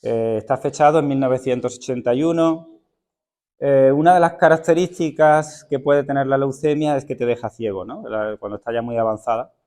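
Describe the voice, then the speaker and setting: A man introducing and reading a poem aloud at a literary event, speaking in a thoughtful, unhurried way with some hesitant fillers. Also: medium pitch, mellow. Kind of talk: poetry reading with spoken introduction.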